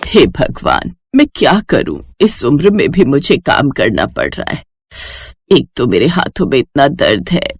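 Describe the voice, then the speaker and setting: Woman playing elderly put-upon mother-in-law, cartoon story, lowered pitch, meek, plaintive, gentle, dry recording. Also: big pitch swings, measured pace, vocal fry, slightly rough, no room sound, heavily acted.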